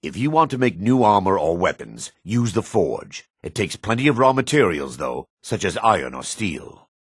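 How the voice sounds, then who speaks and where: A man voicing a gruff, dry-mannered dark elf warrior and townsman in a fantasy video game, with a gravelly, husky voice. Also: sits low, big pitch swings, moderate pace, no echo, heavily acted. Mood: instructive, firm. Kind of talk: video game character voice lines.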